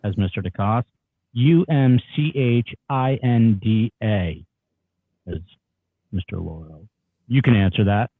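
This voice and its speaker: A man's voice: lowish voice, slow, long pauses, no room sound. A man reading the part of a terse attorney instructing a client in clipped, firm interjections, over a narrow phone-like line. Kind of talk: dramatic reading of a legal transcript.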